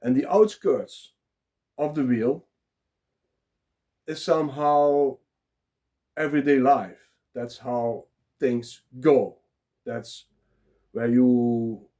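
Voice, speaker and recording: A man with a Dutch accent, talking live and unprepared as a blogger, pausing often with filler sounds. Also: mid-range voice, warm tone. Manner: halting, many filler sounds. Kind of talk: unscripted solo talk.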